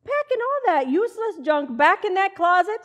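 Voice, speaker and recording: A woman's voice: very high-pitched, big pitch swings, normal speed, fairly bright tone, lo-fi sound, full character voice. A woman playing a long-suffering, sensible wife in an old-time radio comedy, chiding in a dry, folksy way. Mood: exasperated.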